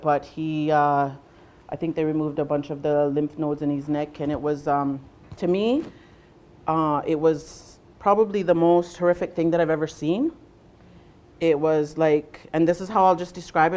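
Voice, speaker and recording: A young adult woman from Southwestern Ontario leading a workshop, speaking loudly to a room without a microphone and often pausing on "um" and "uh". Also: low-pitched, fairly even intonation. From Southwestern Ontario, Canada. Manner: loud voice, unamplified, frequent ums and uhs. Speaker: workshop facilitator, nurse navigator. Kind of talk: presentation to a workshop audience.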